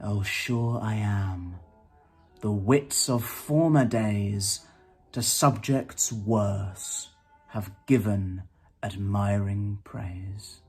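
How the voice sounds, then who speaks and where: A man giving a dramatic recitation of old sonnets in a measured, theatrical way with deliberate pauses. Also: fairly low pitch, a little mellow, breathy edge. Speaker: poetry performer. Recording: music behind the voice. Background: music.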